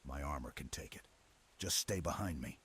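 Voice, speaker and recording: Casting: male actor for protective super-soldier commander in ASMR roleplay, rock-bottom pitch, stoic, steady, reassuring military clip, faint ambience behind. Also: moderate pace. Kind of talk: ASMR roleplay, scripted dramatic monologue. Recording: clear background ambience noise.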